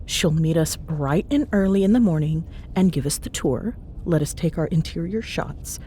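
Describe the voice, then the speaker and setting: A woman's voice: moderate pace, mellow, close to an everyday voice. A woman playing a teasing, bossy but fond young ghost hunter in an intimate ASMR roleplay, bantering sarcastically in a casual, murmuring way. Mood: relaxed, planning. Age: young adult. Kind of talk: ASMR audio roleplay, intimate one-sided chat. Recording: clear background noise with low rumble.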